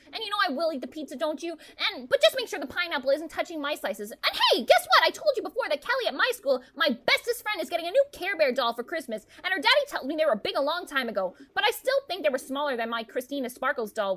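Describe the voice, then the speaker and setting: A very high, bright little girl. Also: full character voice. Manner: childish, insistent, rambling. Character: chattering, demanding little girl, eager for Dad. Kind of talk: radio play acting.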